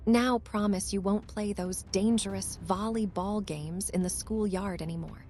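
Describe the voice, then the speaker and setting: An elderly aunt voiced by a woman for a motion comic, medium in pitch. She is fretful and motherly, doting on her family. Light music runs underneath. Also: fairly even intonation, measured pace, mellow, close to an everyday voice. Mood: anxious, doting. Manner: worried, motherly.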